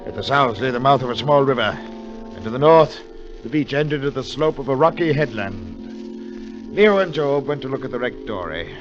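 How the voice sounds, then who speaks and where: An actor playing an educated, cautious gentleman adventurer who narrates his expedition in a measured, formal 1940s radio style. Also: fairly low pitch, close to an everyday voice. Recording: muffled 1940s radio broadcast, music behind.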